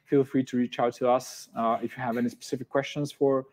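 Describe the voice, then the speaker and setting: Serbian accent host, low mellow voice, pretty monotone, keeps saying uh and you know. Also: male voice. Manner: halting, with many fillers and restarts. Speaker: event host. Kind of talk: online interview.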